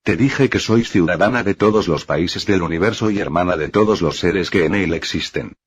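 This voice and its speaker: A man reading the lines of a gentle female alien healer, speaking softly and in a measured, kindly way. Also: low voice, slightly rough, no room sound. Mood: serene. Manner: soft, measured, kindly speech. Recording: dull lo-fi dry recording.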